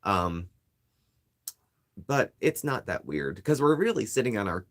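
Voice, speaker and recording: A man with a low voice co-hosting a casual live stream from his living-room love seat, chatting into a phone and greeting viewers. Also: measured pace. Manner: relaxed, chatty, reads out viewer names.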